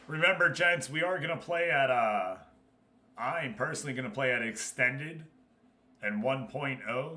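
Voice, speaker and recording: A man's voice: mid-range voice, bright, forceful tone. A man hosting a gaming livestream, chatting informally and commenting as he talks straight to his group. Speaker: livestream host running an online football management game league. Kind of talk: casual gaming stream commentary. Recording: echoey, roomy sound.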